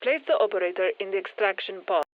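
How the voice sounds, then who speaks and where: A woman's voice: mid-range voice, fast talker, no echo. Tinny radio-filtered female military scientist. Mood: brisk, focused. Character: brisk, focused female military scientist directing squad missions. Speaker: mission control scientist. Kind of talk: scripted game mission briefing. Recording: tinny band-limited radio transmission.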